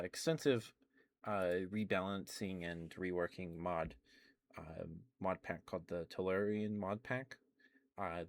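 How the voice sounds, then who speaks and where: A young adult man quietly rambling in a soft ASMR stream, meandering with many fillers and restarts. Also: low-pitched.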